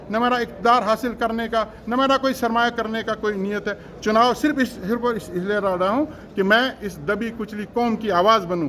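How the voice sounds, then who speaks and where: A man's voice: very high voice, a bit bright. This is a man. He speaks as a candidate on the campaign trail, formal and earnest. He drives his points home with emphatic repetition. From Jammu and Kashmir, India. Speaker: election candidate. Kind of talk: political campaign speech in formal Urdu-heavy register.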